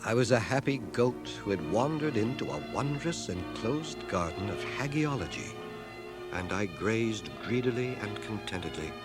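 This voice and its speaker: Wry, low-voiced schoolmaster narrator. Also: male voice, sing-song, over loud music. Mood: quiet enthusiasm. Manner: dry, literate, measured irony. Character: wry, learned schoolmaster, a wounded veteran narrating his life. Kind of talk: dramatized first-person radio narration. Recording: 1980 radio drama cassette transfer.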